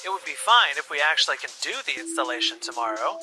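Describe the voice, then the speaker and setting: A man as a firm, frustrated contractor, lively inflection. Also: on the high side, fast talker, over music, voice pushed down, natural voice. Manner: earnest, firm, reproachful. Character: conscientious, frustrated skilled male installation contractor, firm and reasonable. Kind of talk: scripted manga dub voice acting.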